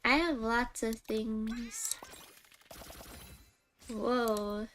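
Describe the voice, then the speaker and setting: Woman, game streamer, high voice, leisurely pace, cutesy casual chatting, soft music behind. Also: animated, very slow. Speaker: livestream host, game streamer. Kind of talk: casual livestream chat. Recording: background music under voice.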